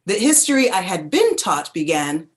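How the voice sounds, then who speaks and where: An actress playing a reflective woman narrator who recalls her childhood in a contemplative, deliberate literary reading. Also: fairly low pitch, big pitch swings.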